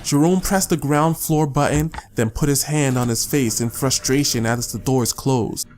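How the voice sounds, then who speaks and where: A man's voice: medium pitch, normal speed, a little mellow. A man reading scripted story narration as the narrator of an audiobook.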